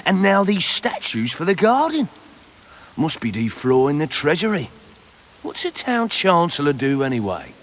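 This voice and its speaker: A gossipy, grumbling crook from a video game. His pitch is high and swings very widely. Faint ambient noise sits behind his foul-mouthed complaining. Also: wildly swooping pitch, a little slow, a bit bright, exaggerated voice. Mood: suspicious, grumbling.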